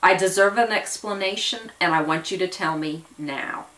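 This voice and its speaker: A woman's voice: fairly low pitch, normal speed, fairly bright tone, natural voice. A woman voicing a shaken but determined married woman in an audio story, earnest and insistent as she wants answers. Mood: stern, insistent. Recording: voice distant from the mic.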